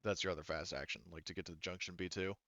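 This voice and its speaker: A man's voice: low voice, fairly even intonation, fast, a bit bright, no echo, dull audio. A man acting as game master, casually narrating and refereeing a tabletop roleplaying game, thinking aloud and often correcting himself.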